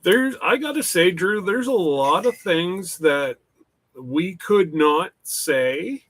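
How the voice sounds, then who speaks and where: A man hosting a casual rambling chat, talking slowly with many pauses and restarts, his voice stuffed up from hay fever. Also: high voice, lively intonation, fairly bright tone, no room sound. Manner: slow, halting, with many restarts. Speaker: channel host. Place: workshop.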